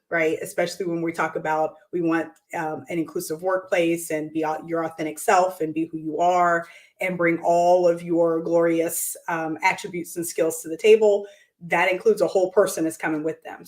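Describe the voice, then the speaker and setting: A woman hosting her weekly show, hoarse and raspy from losing her voice, talking upbeat with lots of ums and restarts in a room by a window. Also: fairly low pitch. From United States. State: hoarse from lost voice. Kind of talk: casual talk to camera.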